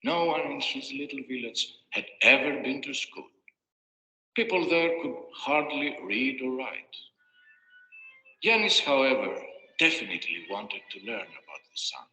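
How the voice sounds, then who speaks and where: A man's voice: mid-range voice, lively intonation, a little slow, pushed and bright. A man narrating a scripted fairy tale as a storyteller, with a dramatic storybook delivery. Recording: echoey reverberant playback with music. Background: music.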